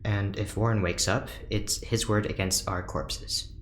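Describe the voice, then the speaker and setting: A man's voice: fairly low pitch, fairly even intonation, unhurried, lightly acted. A man playing an earnest, guilt-ridden doctor in an audio drama, speaking in a serious, slightly formal and literal way. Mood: weary, somber. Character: stiff, earnest, guilt-ridden scientist doctor, socially awkward. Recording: roomy echo, background noise.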